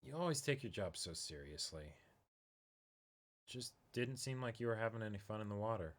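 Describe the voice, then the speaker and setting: A man's voice: on the low side, expressive, normal speed, lightly acted. A man reading the part of an easygoing, encouraging young man in a manga, laid-back and teasing.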